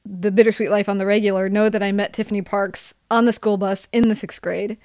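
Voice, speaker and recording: A woman's voice: mid-range voice, level tone, a little fast, a little mellow, no room sound. Woman podcast host, very dry sound. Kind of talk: casual interview chat.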